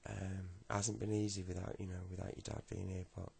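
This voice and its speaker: A man voicing a worried, worn-out single mother who speaks haltingly and repeats herself in a comic story. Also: sits low, flat delivery, vocal fry, mellow, breathy edge, full character voice. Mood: weary, resigned. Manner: halting, repetitive, pleading. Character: worried, weary working single mother of a schoolboy.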